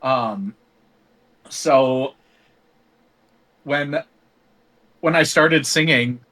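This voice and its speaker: Man, interview guest, bright tone, reflective, lots of filler pauses. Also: mid-range voice, rough recording. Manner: thoughtful, rambling with many ums. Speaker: guest singer. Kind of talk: casual interview conversation.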